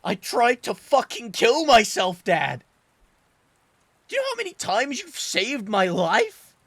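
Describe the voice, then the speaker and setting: A man reading a story, voicing a tormented young man who stammers and shouts at his father through tears. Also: extremely high pitch, sing-song, slow, no room sound, pitched above the performer's own voice, full character voice. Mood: sobbing. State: crying. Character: tormented young man, broken, furious, sobbing son.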